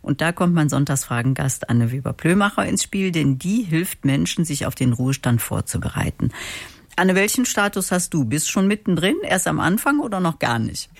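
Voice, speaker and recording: A woman hosting an interview, speaking in prepared, clearly structured narration and questions. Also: deep voice, very animated, normal speed, dry recording. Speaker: interview host. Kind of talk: scripted introduction and interview questions.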